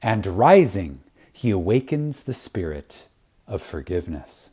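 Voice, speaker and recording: A man reading an inspirational spiritual lecture reverently and dramatically, with deliberate pauses, weighty emphasis and phrases that trail off softly. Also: deep voice, very animated, slightly rough.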